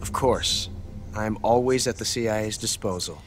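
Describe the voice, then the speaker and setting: Guy playing a smug calm double agent spy in a video game, lowish voice, music playing behind. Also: moderate pace, natural voice. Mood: smug, composed. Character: sly, composed male double agent spy. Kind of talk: scripted game cutscene acting, phone report.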